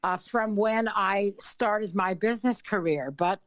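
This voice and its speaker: An older woman from Canada, an author and influence consultant, speaking as an interview guest in a thoughtful, filler-laden way with frequent throat clearing. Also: mid-range voice, unhurried, no room sound, dull audio.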